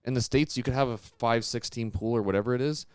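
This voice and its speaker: A man's voice: mid-range voice, fast, a little mellow, smooth, no echo, studio quality. A man co-hosting a casual sports discussion, explaining things step by step in an informal way.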